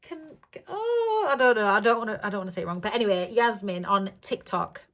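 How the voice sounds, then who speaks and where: A woman talking casually to her viewers in a slightly croaky voice. She rambles along with plenty of ums and short giggles, in a pitch that sits in the middle for a woman. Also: lively intonation, fairly quick, a bit bright. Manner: rambling and chatty, with many ums and frequent giggles. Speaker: budgeting vlogger. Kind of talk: casual chat to camera.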